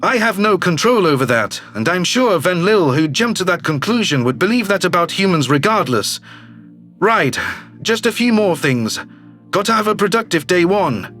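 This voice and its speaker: Man putting on a glib, high-pitched alien politician. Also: sing-song, moderate pace, fairly bright tone, soft background music. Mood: breezy, confident. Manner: glib, self-assured, casually persuasive. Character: brash, slick alien politician, newly elected male governor. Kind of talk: audio drama character reading.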